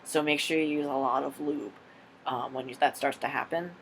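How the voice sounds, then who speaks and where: A man's voice: mid-range voice, steady tone, moderate pace, a bit bright, a little hiss or hum. A young man casually answering viewer questions on his vlog, frank and rambling, swearing freely. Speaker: vlogger.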